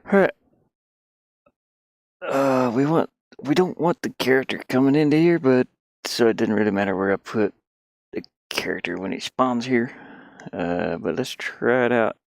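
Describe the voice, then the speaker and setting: A man casually thinking out loud in an offhand, muttering way as he works through game development on screen. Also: mid-range voice, normal speed, gravelly, pauses often, no room sound, studio quality. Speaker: indie game developer, streamer. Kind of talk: casual think-aloud screen-share commentary while working.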